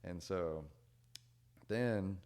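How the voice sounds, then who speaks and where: A young man with a very low, deep voice talks in a loose, unhurried way. His sentences wander with fillers like "like" and "dude". He often fades out softly at the end of a thought. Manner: rambling, many "like" and "dude" fillers; relaxed, trails off quietly at ends of thoughts. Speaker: podcast guest. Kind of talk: casual storytelling chat.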